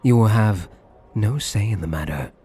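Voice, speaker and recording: Man playing a smug wealthy gambler, possessive lover, low mellow voice, suave teasing endearments, husky, intimate ASMR roleplay. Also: animated, moderate pace, faint music behind. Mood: seductive, possessive.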